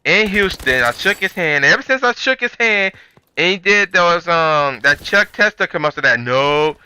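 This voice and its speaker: A man's voice: high-pitched, expressive, moderate pace, pushed and bright, clear, resonant tone. A man telling a story as a channel host, in a rambling, conversational, slangy way. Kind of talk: casual storytelling commentary.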